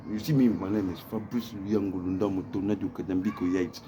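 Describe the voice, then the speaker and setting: A man's voice: low-pitched, fairly even intonation, fast. A man from Africa vlogging in a rambling monologue, repeating himself and often asking if you know what he means, smoking while he talks. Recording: noisy background hiss.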